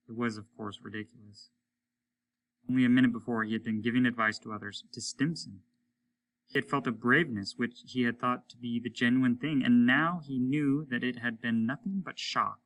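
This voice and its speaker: A man reading a story aloud as dramatic narration, deliberate and expressive. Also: mid-range voice, rough recording. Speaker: story reader, teacher.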